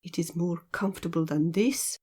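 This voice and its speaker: A woman's voice: lowish voice, moderate pace, warm tone, husky. A woman voicing a proud, blunt young country woman in an audiobook, speaking in curt, plain sentences. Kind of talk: audiobook character dialogue.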